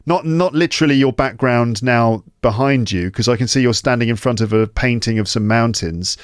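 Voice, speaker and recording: A man's voice: mid-range voice, normal speed, clear, resonant tone, no room sound, studio quality. A man hosting a podcast, joking and rambling with fillers as he interviews a guest over a video call. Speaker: podcast host. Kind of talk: casual interview chat.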